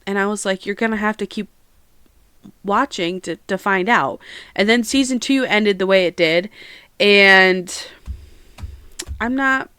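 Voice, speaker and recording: A woman's voice: medium pitch, measured pace, long pauses, pushed and bright, clean tone, no room sound. Young female podcast host, nasal with a cold, rambly and talkative, clean dry recording. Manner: chatty, rambling, self-aware about yapping. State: stuffy head cold, nasal. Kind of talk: casual solo podcast chat. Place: own room at home.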